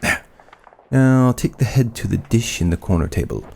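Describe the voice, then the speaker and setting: A man's voice: fairly low pitch, animated, moderate pace, gravelly, background noise. A man playing an obsessive mad scientist and army surgeon in a horror audio drama, clinical and commanding, with gleeful fascination. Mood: cold, clinical.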